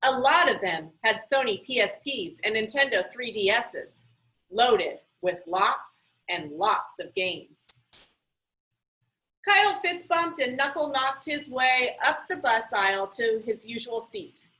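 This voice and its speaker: Woman, storybook narration, high-pitched, very lively intonation, bright tone, expressive and emphatic. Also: slow, poor audio. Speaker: reader reading a children's book aloud.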